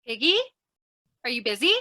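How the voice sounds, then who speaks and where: Woman playing a very high-pitched, ditzy lady reporter. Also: expressive, normal speed, noisy background, lightly acted. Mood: breezy, oblivious. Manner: ditzy, earnest, theatrical. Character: scatterbrained, chatty, eager young lady reporter. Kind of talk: old-time radio crime comedy acting.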